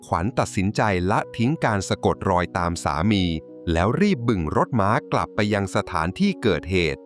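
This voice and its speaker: A man narrating a folk tale from a script in a dramatic, suspenseful, expressive way. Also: sits low. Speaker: storyteller. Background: music.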